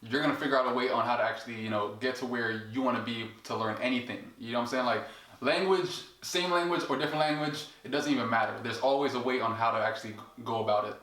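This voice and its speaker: A man's voice: medium pitch, quick pace, fairly bright tone. A man hosting a casual trading chat, loose and full of fillers like "like" and "you know". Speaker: trading coach and host. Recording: echoey room.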